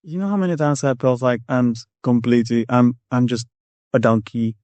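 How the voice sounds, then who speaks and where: A middle-aged Czech man with a Czech accent, a guest in an interview, telling a story in a rambling, animated way and acting out what people said. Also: mid-range voice, brisk, no echo. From Czech Republic. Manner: rambling, animated, acts out quotes. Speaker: guest, Air Force master sergeant.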